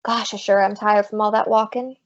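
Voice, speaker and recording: A woman's voice: mid-range voice, level tone, fairly quick, muffled recording, natural voice. A woman playing a brassy, big-hearted, wisecracking working woman in an old-time radio comedy. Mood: breezy, wry.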